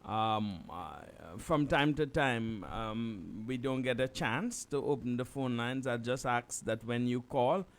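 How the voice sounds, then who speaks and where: A man with a mid-range voice hosts a radio talk show. He speaks in a measured, deliberate way and invites listeners to call in. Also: mid-range voice. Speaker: radio host. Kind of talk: radio hosting.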